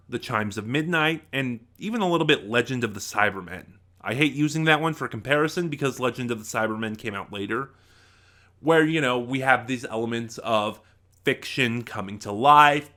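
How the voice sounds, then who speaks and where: A man giving an informal spoken review, thinking aloud in a halting, emphatic way. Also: mid-range voice, sharp tone. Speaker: review channel host.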